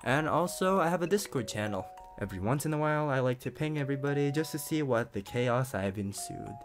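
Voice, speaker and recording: A man's voice: mid-range voice, expressive, a little fast. A man giving a casual outro to his viewers as a video creator. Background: music.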